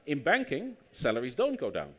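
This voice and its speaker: A man's voice: a little high, moderate pace. A man with a Dutch accent, a native Dutch speaker, telling anecdotes as an author being interviewed at a public talk. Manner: lively anecdotal storytelling, acts out quotes. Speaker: author and anthropologist guest. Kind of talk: conversational interview on stage.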